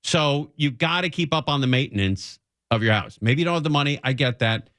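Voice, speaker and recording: A man's voice: medium pitch, expressive, fairly quick, a bit bright, dry recording, studio quality. A man hosting a radio talk show, giving off-the-cuff, opinionated commentary in a conversational way and repeating phrases for emphasis. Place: radio studio.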